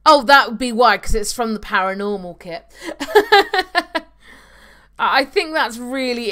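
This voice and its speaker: Slow-talking, chatty young woman. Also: fairly high pitch, pushed and bright, clean tone. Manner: chatty, reads out viewer comments. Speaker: gaming streamer. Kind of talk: casual live-stream chat while playing. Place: home room at a desk. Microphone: desk microphone on a webcam stream.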